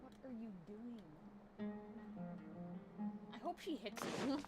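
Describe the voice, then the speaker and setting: A woman's voice: a little high, expressive, slow. A woman streamer giving casual, slangy reaction commentary over gameplay, swearing freely. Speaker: game streamer. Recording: loud game music behind voice.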